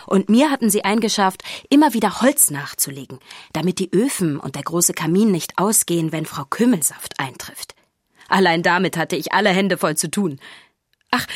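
An easygoing castle maid tells her story. Her voice is a little low and very dry, close and confiding, in conversational children's audiobook narration. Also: animated, moderate pace, slightly rough, dry recording. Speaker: first-person narrator.

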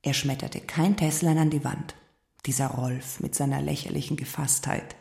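A woman's voice: extremely low pitch, steady tone, normal speed, vocal fry, a little mellow, roomy sound. An actress playing a restless, scornful unfaithful wife who provokes her husband in a German literary radio play, pointed and reproachful. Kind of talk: German literary radio play, dialogue and first-person narration.

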